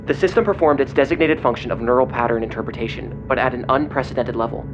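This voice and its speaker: A man playing an agitated investigator in an audio drama, speaking in a grave, halting, intense way over a phone. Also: medium pitch, over music, lightly acted. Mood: grave reading. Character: agitated male investigator relaying grim secret documents by phone. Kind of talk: audio drama acting, partly reading documents aloud. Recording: dull lo-fi phone call, clear noise.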